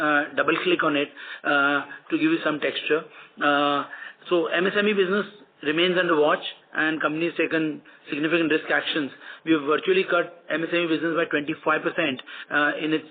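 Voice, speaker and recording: Over a narrow, phone-like conference line, an Indian-accented man speaks in a slightly high voice. He delivers financial results like a company executive, formal and measured, often hesitating between phrases. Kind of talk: prepared earnings call commentary. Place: conference call.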